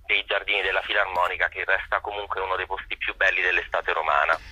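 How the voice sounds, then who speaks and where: Sharp, husky man on a phone line. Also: sits low, flat delivery, fast, dry recording. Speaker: interview guest, festival artistic consultant. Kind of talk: informative phone interview. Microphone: telephone. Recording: dull lo-fi telephone line.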